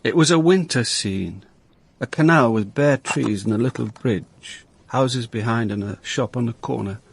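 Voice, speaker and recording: Weary police inspector in a radio play, gravelly and a bit husky, dry measured narration. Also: male voice, mid-range voice, no echo. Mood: reflective, measured. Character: world-weary, observant male Dutch police inspector narrating his case. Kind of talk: radio drama, first-person detective narration.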